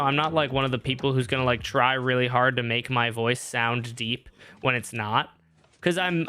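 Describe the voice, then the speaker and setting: A man's voice: mid-range voice, sharp tone. A young man streaming a game, rambling and joking at himself as he thinks aloud. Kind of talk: casual live-stream gameplay talk. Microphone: streaming microphone.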